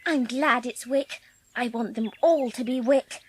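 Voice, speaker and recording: A woman's voice: a little high, measured pace, a little mellow, a little hiss or hum, lightly acted. A woman playing an earnest, lonely orphan girl in a dramatised audiobook, with childlike phrasing. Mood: anxious wonder.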